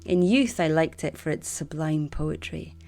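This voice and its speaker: A woman's voice: sits low, a little slow, dark tone, faint music behind. A woman reading first-person memoir excerpts aloud.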